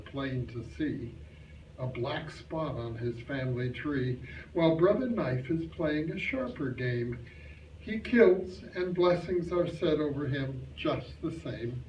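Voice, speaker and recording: A man's voice: a little high, darkish tone. Man reciting verse in an echoey hall. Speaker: reader at an amateur reader's theater. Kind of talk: poetry recitation on stage. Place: clubhouse hall stage. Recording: distant echoey hall recording, muffled, faint hiss.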